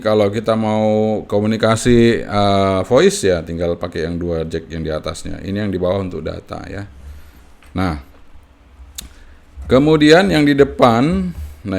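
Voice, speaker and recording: A man with a lowish, noticeably creaky voice presents a casual hands-on tutorial. He explains things in short, halting pieces and often checks in with small tag words at the end of a phrase. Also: darkish tone. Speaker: amateur radio hobbyist presenting a tutorial.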